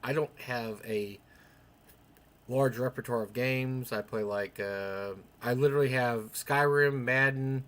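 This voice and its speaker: A man's voice: mid-range voice, measured pace. An older man with a young-sounding voice co-hosting a live stream, rambling through casual anecdotes and acting out bits of dialogue. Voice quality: young-sounding for his age. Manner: chatty, animated retelling with quoted dialogue.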